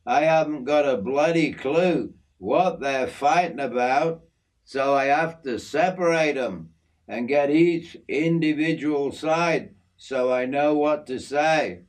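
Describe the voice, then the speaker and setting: A man putting on a friendly middle-aged Aussie working bloke, raised a bit above the reader's own pitch, with a broad, slangy, matey drawl. He talks at an unhurried, slow pace, like a storybook father showing off his backyard. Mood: chatty. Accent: Australian. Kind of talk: storybook character voice.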